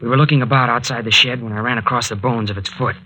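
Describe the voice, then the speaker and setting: An actor playing a protective, rattled young man in a 1940s radio serial, earnest and halting in his delivery. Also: medium pitch, lightly acted, poor audio. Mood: grave, suspenseful. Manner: earnest, halting, soothing, then excitable. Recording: vintage 1940s radio recording.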